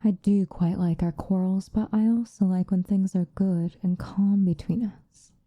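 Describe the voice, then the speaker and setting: A woman playing a possessive vampire lover in an ASMR roleplay, soft and often whispering close to the microphone, coaxing with condescending affection and a hint of menace. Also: mid-range voice, fairly even intonation, a little slow, no room sound, highs cut off, natural voice. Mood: tender, possessive.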